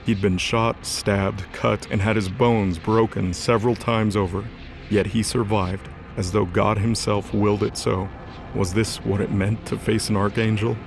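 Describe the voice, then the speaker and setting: A male narrator reads scripted dramatic fiction. His voice sits low and has a gravelly fry to it. Music plays clearly behind the narration. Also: level tone, measured pace. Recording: music under the voice.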